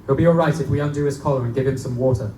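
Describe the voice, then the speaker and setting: A man's voice: medium pitch, rapid-fire, noisy background, natural voice. A man playing a young Victorian medical student who narrates a gothic horror tale in formal, old-fashioned language before a live audience. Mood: urgent, concerned. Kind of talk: live dramatic reading of gothic pulp horror. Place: live performance in a bookstore. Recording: echoey distant live room recording, loud guitar.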